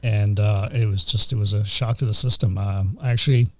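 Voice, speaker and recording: A middle-aged man from Greece hosting a podcast in casual chat, often pausing with uh and um. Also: on the low side, fairly even intonation. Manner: hesitant, many uhs and ums. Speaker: podcast host.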